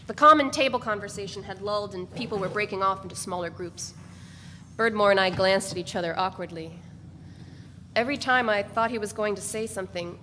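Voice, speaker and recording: A woman's voice: mid-range voice, bright, forceful tone. A woman giving a dramatic reading of a novel as a first-person narrator. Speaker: reader of a novel. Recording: echoey hall, faint background noise.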